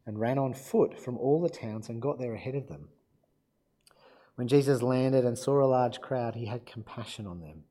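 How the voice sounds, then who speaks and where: Croaky man preaching a sermon. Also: medium pitch. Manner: self-deprecating, relaxed, with fillers. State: croaky from illness. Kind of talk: sermon with Bible reading.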